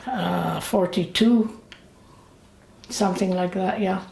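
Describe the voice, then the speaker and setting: Woman in her late eighties, interviewee, slow and gravelly, halting speech, echoey room. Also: on the low side, darkish tone. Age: late 80s. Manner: halting, with frequent fillers and pauses. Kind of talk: slow, reflective memories. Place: indoors. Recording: roomy echo.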